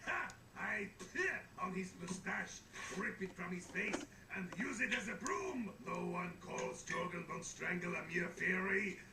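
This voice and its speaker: An actor voicing a boastful, brawny fairy drill sergeant in a cartoon game. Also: male voice, sits high, measured pace, hardly any pauses. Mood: boastful, defiant. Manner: bombastic, pompous. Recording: echoey, distant, loud noise behind.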